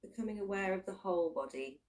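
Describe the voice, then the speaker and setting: A woman leading a guided body scan meditation, giving gentle instructions in unhurried, invitational phrases with long pauses. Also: mid-range voice, recorded from a distance.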